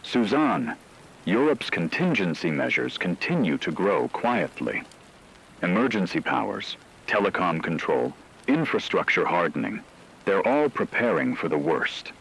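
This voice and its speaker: Deep-voiced solemn actor as a male radio anchor. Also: big pitch swings, measured pace, creaky, fairly bright tone, slight background noise, full character voice, poor audio. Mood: grave, measured. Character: grave male radio news anchor in wartime. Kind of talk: scripted emergency news broadcast.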